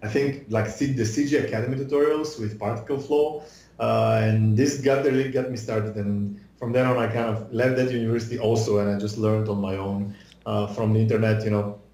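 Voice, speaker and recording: A man's voice: fairly low pitch, fairly even intonation, a little fast, dark tone. A man in his thirties who grew up in Bulgaria, chatting casually in an interview over a video call, with many fillers and restarts. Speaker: guest, visual effects artist. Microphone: video call webcam mic. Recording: roomy echo on a video call.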